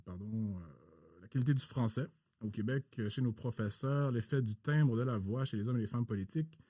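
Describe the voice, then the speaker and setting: A man hosting a podcast interview in a formal, halting way with many pauses. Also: medium pitch, dark tone, dry recording, clean studio sound.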